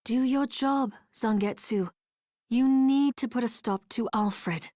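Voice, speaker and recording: A woman playing a composed, gently authoritative ally in a video game, speaking in a measured, formal way. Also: a little high, dry recording, clean studio sound. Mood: firm.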